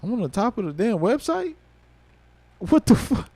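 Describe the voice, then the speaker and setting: A man in his thirties livestreaming, a rapper talking casually and swearing freely, mixing thoughtful musing with loud excitement. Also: sits high, moderate pace, breathy edge, dry recording. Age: 30s. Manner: reflective, then loud and excited with laughter and swearing.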